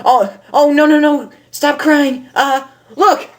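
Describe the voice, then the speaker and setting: A man's voice: extremely high pitch, steady tone, takes it slowly, pitched above the performer's own voice. A man voicing a nervous, lovestruck, brash young female cartoon pony with a flustered, stammering delivery. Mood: panicked.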